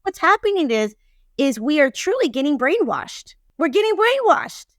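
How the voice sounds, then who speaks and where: A woman's voice: high voice, big pitch swings, normal speed, dry recording. Emphatic woman in her fifties, dry sound. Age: 50s. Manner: emphatic, repeats phrases for stress. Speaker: podcast guest, relationship expert. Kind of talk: casual, opinionated podcast conversation.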